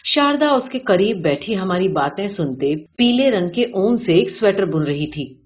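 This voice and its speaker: Woman reading a short story out loud, medium pitch, kinda muffled recording. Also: animated. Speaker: audiobook reader of a short story. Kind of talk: scripted literary story narration. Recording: dull, lo-fi sound.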